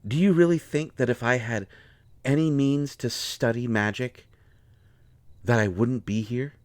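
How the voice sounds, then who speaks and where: A man playing an indignant, hurt healer mage in a fantasy roleplay audio, expressive, sarcastic and exasperated. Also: medium pitch, unhurried, dry recording, natural voice. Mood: indignant, wounded. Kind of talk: dramatic roleplay audio acting.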